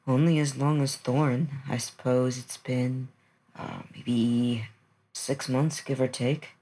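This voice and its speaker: A performer voicing Eldoris, a calm, formal, clawed seafaring creature with a gravelly, husky voice and measured manner. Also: medium pitch.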